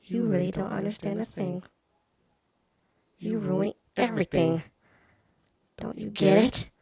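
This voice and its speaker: A woman's voice: mid-range voice, steady tone, unhurried, vocal fry, warm tone, breathy edge, no room sound. A woman voicing a dramatic, jealous and insecure female doll in a visual novel game. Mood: bitter. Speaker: voice of a toy character. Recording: music playing behind the voice. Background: music.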